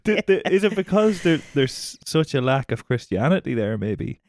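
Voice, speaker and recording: A man's voice: a little high, big pitch swings, moderate pace, a little mellow, no echo. A man with an Irish accent co-hosting a podcast, chatting casually over drinks with dry, sweary teasing. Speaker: podcast co-host.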